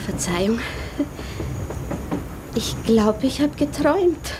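A woman's voice: on the high side, very animated, slow. An actress playing a dreamy, yearning woman in a 1950s radio drama, speaking inwardly and often half-whispering. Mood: drowsy. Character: dreamy, yearning woman, a lonely piano teacher. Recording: noisy 1950s radio recording.

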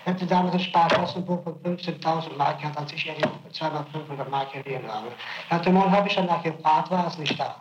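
A man's voice: a little high, fairly quick. A man answering a prosecutor's questions in plain everyday speech, defensive but always deferential. The old tape sounds muffled and roomy, with a faint hiss behind him. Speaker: suspect under interrogation. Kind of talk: archival interrogation tape.

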